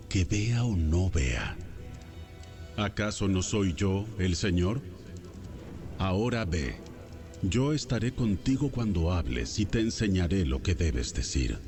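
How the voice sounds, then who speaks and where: A man's voice: sits low, darkish tone, full character voice. A man playing God as a stern, authoritative deity in a solemn, commanding dramatized Bible audio.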